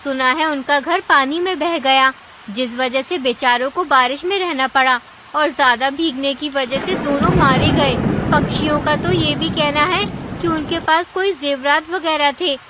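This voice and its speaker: Woman doing a chatty clever cartoon birdie, sympathetic and coaxing, high voice, faint rainy noise. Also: moderate pace, natural voice. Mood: slyly coaxing. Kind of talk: Hindi cartoon story acting.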